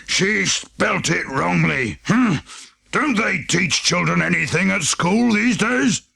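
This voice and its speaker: Grumpy storybook father bear: gravelly, forceful growl, slow and blustery. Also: high-pitched, lively intonation, no echo, clean studio sound, voice pushed up, heavily acted. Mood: grumpy, blustering. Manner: grumbling, blustery, roaring. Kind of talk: children's storybook character reading.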